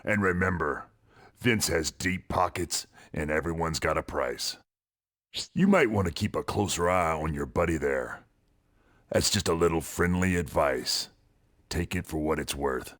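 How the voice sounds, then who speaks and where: An actor playing a blunt, wary wrestler in a game cutscene, measured and sardonic. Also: male voice, a bass voice, lively intonation, fairly bright tone, exaggerated voice. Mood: grave, cautionary. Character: blunt, wary male wrestler giving hard advice. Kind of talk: video game cutscene acting.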